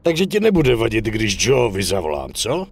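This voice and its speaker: Swaggering union boss, animated. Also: male voice, lowish voice, breathy edge, heavily acted. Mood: wary, businesslike. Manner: bossy, blunt, swearing, talks down to others. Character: cynical, foul-mouthed middle-aged male dockworkers' union boss. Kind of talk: dubbed crime game cutscene acting.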